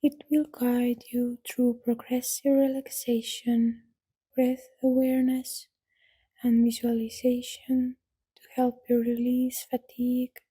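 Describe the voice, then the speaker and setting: A woman with a soft, breathy voice leads a guided yoga nidra relaxation in a soothing, gentle manner, with long pauses between instructions. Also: fairly high pitch. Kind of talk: guided relaxation meditation, scripted.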